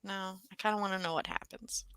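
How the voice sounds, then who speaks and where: Bright-voiced woman chatting about books. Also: medium pitch, fairly even intonation, brisk, clear, resonant tone, dry recording. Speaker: book discussion co-host. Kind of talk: casual book chat.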